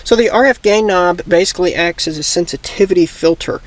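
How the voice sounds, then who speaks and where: A man speaks in a fairly high-pitched voice as the host of an instructional video. His delivery is casual but methodical, laying out each point step by step. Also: fairly quick.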